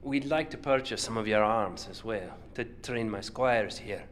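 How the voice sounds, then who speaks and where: A man's voice: fairly low pitch, lightly acted. Man playing a stern veteran soldier, background noise. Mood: formal, measured. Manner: measured, commanding, formal. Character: stern, principled male army veteran leading travelers. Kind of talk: fantasy audio drama acting.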